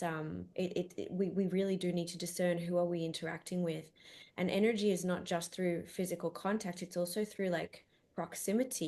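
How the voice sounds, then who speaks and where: A woman from Australia co-hosting a reflective chat, talking slowly with many pauses and restarts. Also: fairly low pitch, darkish tone. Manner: hesitant, many ums and restarts. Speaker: podcast co-host.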